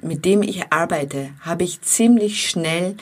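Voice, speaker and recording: A woman with a slight foreign accent giving a calm, earnest self-help talk, speaking directly to her listeners. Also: lowish voice, slow pace, breathy edge. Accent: non-native accent. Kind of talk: motivational monologue to viewers.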